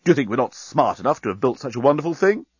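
A man's voice: medium pitch, very animated, rapid-fire, husky, dry recording, highs cut off. A man voicing a pompous, formal and easily defensive military captain of alien invaders in a comic audiobook. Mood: stiff, defensive.

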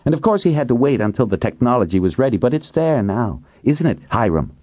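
Man voicing an earnest, accusing young woman, raised pitch. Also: very animated, a little fast, darkish tone, dry recording.

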